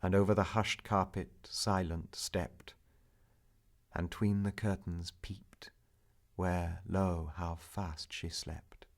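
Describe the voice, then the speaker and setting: A man with a fairly low voice recites narrative verse very slowly. His delivery is solemn and theatrical, measured in the manner of a dramatic poetry reading. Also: level tone.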